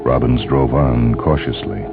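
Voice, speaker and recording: Super low voiced guy reading a dramatic story, music playing behind him, kinda muffled lo-fi sound. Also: unhurried. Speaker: narrator reading fiction. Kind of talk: scripted dramatic audiobook narration. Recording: dull, band-limited lo-fi audio. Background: clear background music.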